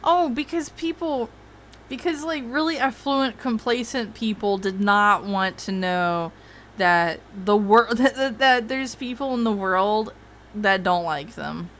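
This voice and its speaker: A woman's voice: on the high side, measured pace, a bit bright, slight background noise. A woman hosting a casual livestream, rambling and swearing freely, with frequent laughs. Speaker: streamer host.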